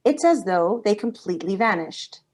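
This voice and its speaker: A woman's voice: mid-range voice, lively intonation, normal speed, darkish tone, close to an everyday voice. A woman playing a serious television news anchor in a drama table read, reading in a formal style. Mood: grave, formal.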